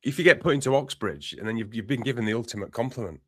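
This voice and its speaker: A man chiming in during an interview with quick remarks. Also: medium pitch, lively intonation, a bit bright, dry recording, studio quality. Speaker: co-host. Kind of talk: conversational interview.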